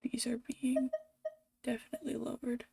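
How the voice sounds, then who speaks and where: A woman's voice: fairly high pitch, little pitch movement, moderate pace, creaky, warm tone, slight background noise. A woman game streamer chatting casually to viewers as she plays. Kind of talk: casual livestream chat while playing a game.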